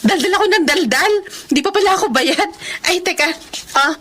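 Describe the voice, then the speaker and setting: A friendly young schoolteacher, chats away in a high-pitched voice. The delivery is Filipino radio drama acting. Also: female voice, expressive, normal speed, a bit bright. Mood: chatty, admiring.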